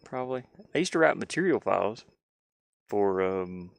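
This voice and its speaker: Low, creaky-voiced man rambling on a stream. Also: lively intonation, normal speed, dry recording. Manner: rambling, musing, self-questioning. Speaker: live streamer learning game design. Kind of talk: casual livestream chat, thinking aloud. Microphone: headset.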